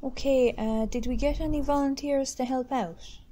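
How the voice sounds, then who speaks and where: A woman with an Irish accent playing a brisk, matter-of-fact parish committee chair in an amateur radio drama. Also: high voice, fairly even intonation, warm tone, natural voice. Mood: businesslike.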